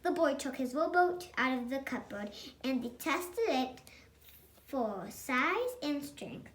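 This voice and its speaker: High, lively young storybook reader. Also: takes it slowly. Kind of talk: reading a picture book aloud.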